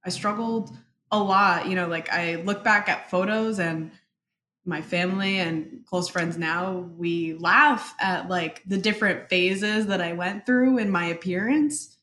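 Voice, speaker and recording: Brief: young woman, native Spanish speaker, slightly low voice, thoughtful and halting; echoey room, faint background hiss. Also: a bit bright. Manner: thoughtful, halting, many fillers. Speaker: interview guest. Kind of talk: reflective personal interview.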